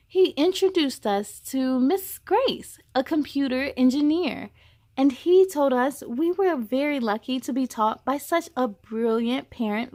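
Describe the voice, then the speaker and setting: Female children's read-aloud host, high voice, expressive storybook delivery, engages young listeners directly.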